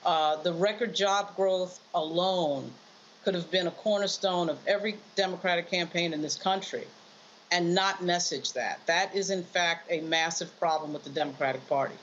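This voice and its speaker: A woman's voice: on the low side, normal speed, highs cut off, a little hiss or hum. An American woman giving emphatic, argumentative political commentary off the cuff as a panelist.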